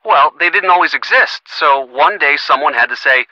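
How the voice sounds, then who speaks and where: An earnest young American boyfriend for a video game. His youthful voice sits a little high and is very bright, with a conversational, wry delivery. The sound is close and dry. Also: lively intonation, moderate pace, no room sound, highs cut off, exaggerated voice. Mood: casual, amused. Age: young adult. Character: earnest young boyfriend of a sleuth, gently sardonic. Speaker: voice actor. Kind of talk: video game phone-call dialogue.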